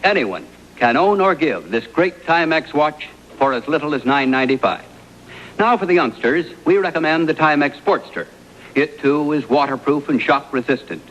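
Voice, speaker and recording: A man delivering a 1960s television commercial as a pitchman. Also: expressive, moderate pace, fairly bright tone. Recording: dull, lo-fi vintage broadcast.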